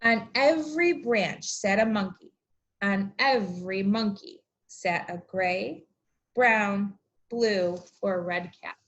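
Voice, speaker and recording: A woman teacher reading aloud and explaining things to young children, with expressive, deliberate emphasis. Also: medium pitch, dull audio. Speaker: elementary school teacher.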